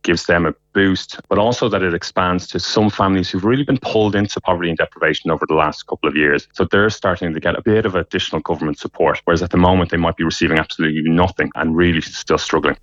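A man's voice: low voice, steady tone, fast talker, hardly any pauses, fairly bright tone, no echo. A man giving an earnest interview soundbite as a charity spokesman, in long run-on sentences on a slightly muffled recording. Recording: dull, slightly muffled clip.

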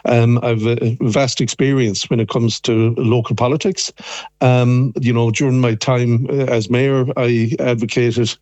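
A mature man, a native Irish speaker with a west of Ireland accent, answering a radio interview haltingly as a local councillor on a phone line. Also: medium pitch, flat delivery, a little mellow, no room sound. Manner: halting, repeats words.

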